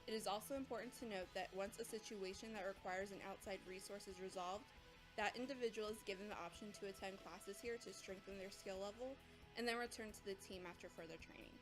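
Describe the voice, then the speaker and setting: A woman playing a composed, patient academy instructor, lecturing in a measured, authoritative way as scripted drama. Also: medium pitch, over music, natural voice. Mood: instructive, composed. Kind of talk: scripted audio drama dialogue.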